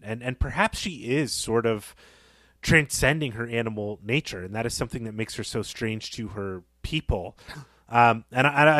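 A man co-hosting a podcast, discussing literature conversationally in a reflective, measured way. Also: medium pitch, a bit bright, no echo. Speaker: podcast co-host.

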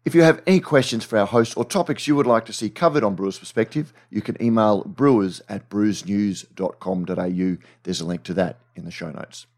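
A man's voice: fairly low pitch, brisk, few pauses, darkish tone. A man reading a scripted podcast introduction. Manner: read-out announcement. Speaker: podcast announcer.

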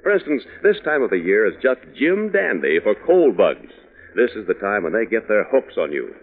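Male radio pitchman, mid voice, very lively intonation, chummy and folksy, muffled vintage broadcast. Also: moderate pace. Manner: chummy, folksy, addressing youngsters. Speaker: radio announcer, sponsor pitchman. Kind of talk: 1940s radio commercial patter. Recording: muffled old radio recording.

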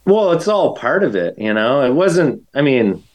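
A man's voice: medium pitch, very animated, a little slow, darkish tone, low-quality recording. A man in his forties, a podcast guest telling race stories in a rambling, self-mocking way full of fillers. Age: 40s. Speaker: guest runner. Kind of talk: casual chat, telling stories.

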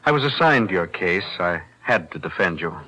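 An actor playing a calm defense attorney, measured and precise, in 1940s radio-drama style. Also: male voice, low-pitched, faint music behind, heavily acted, rough recording. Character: calm, principled male lawyer.

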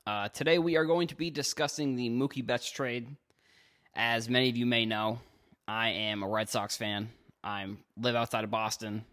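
A man's voice: mid-range voice, steady tone, normal speed, fairly bright tone. Rambling young man hosting a podcast. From Cape Cod, Massachusetts. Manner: rambling, restarts sentences, stumbles over words. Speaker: podcast host. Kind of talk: casual solo sports podcast.

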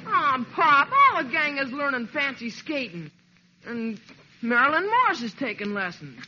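An actor playing a sulky, whining American teenage son in a 1940s radio comedy. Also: male voice, very high voice, very animated, moderate pace, pushed and bright, heavily acted. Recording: dull, faintly hissy radio recording.

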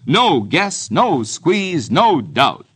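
A man's voice: on the high side, sing-song, extremely slow, background noise. A man announcing a scripted commercial in the style of mid-century American radio. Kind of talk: 1940s-50s radio bread ad, scripted sales pitch.

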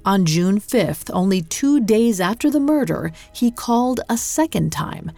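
A woman hosting a podcast, reading scripted true-crime narration in a measured, dramatic storytelling manner. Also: mid-range voice, lively intonation, gravelly, warm tone, no room sound. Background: music.

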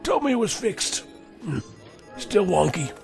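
A man's voice: sits high, sing-song, unhurried, gravelly, music in the background, exaggerated voice. An actor playing a chatty, folksy older man, a wry and self-mocking regular at the movie theater. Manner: folksy, rambling, chuckling.

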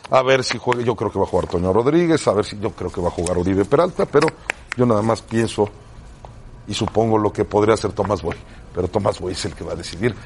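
A man's voice: lowish voice, animated, fast, background noise. A man with a Mexican Spanish accent, a sports talk show panelist chatting casually and asking his co-hosts to agree with him. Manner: conversational, asks co-hosts for agreement.

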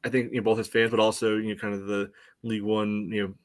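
Lowish voiced guy on a sports podcast, breaking stuff down casually, lots of you knows, halting. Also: flat delivery. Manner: hesitant, measured, frequent "you know" hedging. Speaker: soccer podcast co-host, analyst.

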